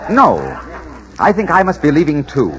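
An actor playing a suave young gentleman, a romantic leading man in a 1940s radio drama, measured and theatrical. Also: a little high, sing-song. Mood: intrigued. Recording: muffled 1940s radio recording, music behind.

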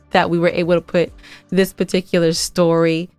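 Effusive woman co-host for a casual recap, lowish voice, gushing with emphatic repeats, close dry sound. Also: level tone, a little slow, darkish tone, no room sound, faint music behind. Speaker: podcast co-host and scriptwriter.

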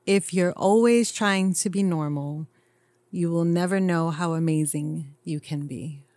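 A relaxed co-host on a casual podcast, laughing along with the others and reading a quote aloud. The voice sits at a middle pitch. The recording is close and dry. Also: a little slow, no room sound. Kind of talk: casual banter.

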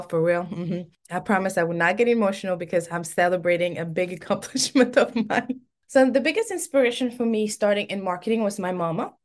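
A woman from Senegal whose first language is French, hosting a solo podcast episode in a chatty, laughing way. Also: medium pitch. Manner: chatty and warm, laughs often. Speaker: podcast host.

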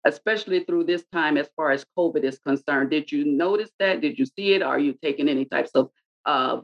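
A woman moderates a panel in a low, easy voice, asking rambling, conversational questions. The audio is dull and muffled, as though the highs were cut off. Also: fairly quick. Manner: conversational, asks questions at length.

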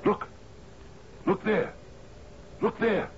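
An actor playing an aging, gruff sea captain in a 1970s radio drama, commanding yet lonely, halting in his speech. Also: sits high, steady tone. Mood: awed, insistent. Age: older. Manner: gruff, halting, swings from muttering to shouting. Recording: dull, noisy 1970s radio broadcast.

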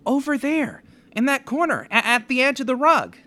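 A man playing a wary, wisecracking fellow who bristles easily, acted in an animated, sardonic 1930s radio drama style. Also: very high-pitched, moderate pace, full character voice. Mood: casual, wry. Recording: music bed under the voice.

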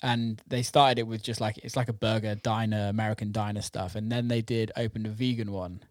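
A British man with a lowish voice talks in an informal, enthusiastic way. He swears freely and chats as if with a mate. The recording is close and dry, with little room sound. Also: fairly even intonation, a little fast, no echo. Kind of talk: casual chat about food.

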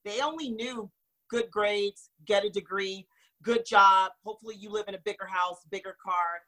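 A woman from North Carolina speaks as an interview guest, her voice bright and clear. She tells her story earnestly and expressively, with an easy laugh. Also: medium pitch, moderate pace, poor audio. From North Carolina, raised in North Florida. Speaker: guest, magazine founder.

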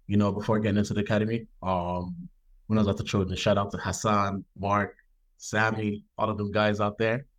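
A young man from Ireland answering interview questions in a relaxed, laid-back way, with many ums. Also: lowish voice, low-quality recording. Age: young adult. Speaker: guest football player.

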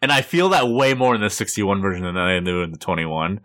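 A man's voice: low voice, expressive, a little fast, a crowd in the background. A man co-hosting a film podcast in easygoing chat, steering the talk with questions. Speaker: podcast co-host.

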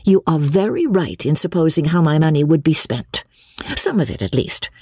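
A woman's voice: low voice, animated, moderate pace, mellow, breathy edge, no echo, clean studio sound, pitched above the performer's own voice. A woman voicing an ardent, idealistic young woman in a story, speaking emphatically and decidedly. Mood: firm, nostalgic. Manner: emphatic, exclamatory.

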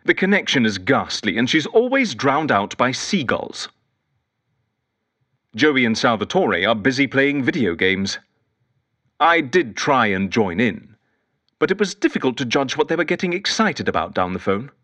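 A man's voice: mid-range voice, sing-song, normal speed, bright, forceful tone. An actor playing a lonely, eccentric male detective who rambles fussily and whimsically into an audio diary in an audio drama. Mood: dryly chatty. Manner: fussy, whimsical, wistful, digressive. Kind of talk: audio drama monologue as spoken journal.

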